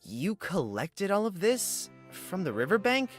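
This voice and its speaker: A man's voice: sits high, very animated, measured pace, faint music behind. Man playing a wary young lost traveler. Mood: curious, puzzled. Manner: questioning, uncertain. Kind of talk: visual novel character acting.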